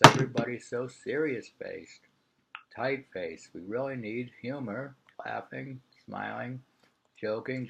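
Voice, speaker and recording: A man's voice: medium pitch, gravelly, lo-fi sound, slight background noise. A man reading an interview aloud in an informal way. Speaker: reader of a printed interview.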